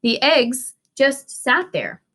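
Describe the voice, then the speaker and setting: A woman's voice: high voice, sing-song, takes it slowly, sharp tone. A woman teacher reading a children's story aloud expressively, with dramatic emphasis for young listeners.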